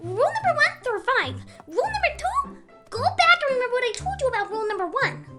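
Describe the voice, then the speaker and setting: A woman voicing a friendly, sassy young draconequus girl who is eager to play, in an animated drama. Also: very high-pitched, quick pace, loud music behind the voice. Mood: excited. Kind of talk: animated audio drama acting.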